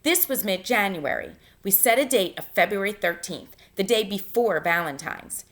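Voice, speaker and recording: Bright-voiced woman teacher reading aloud. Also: mid-range voice, moderate pace. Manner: instructive, expressive reader. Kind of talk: read-aloud lesson, narration with spoken instructions.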